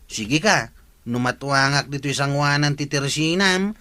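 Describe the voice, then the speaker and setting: An actor as a forceful, grumbling tricycle driver. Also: male voice, a little high, lively intonation, normal speed. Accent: Filipino, Ilocano. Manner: complaining, curt. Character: lazy, grumbling tricycle driver, stubborn and cheeky. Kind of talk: comic radio drama acting.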